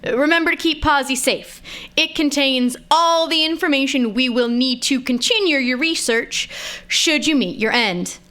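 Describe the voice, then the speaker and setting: A woman playing a composed, commanding space admiral in an audio drama, with a measured, authoritative and formal delivery. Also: high-pitched, sharp tone. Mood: brisk, commanding.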